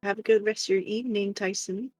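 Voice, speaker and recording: A woman's voice: mid-range voice, steady tone, quick pace, a little mellow. A woman co-hosting a livestream, chatting casually and affectionately as she reads out the viewers' messages. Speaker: livestream co-host reading chat. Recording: music playing behind the voice. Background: music.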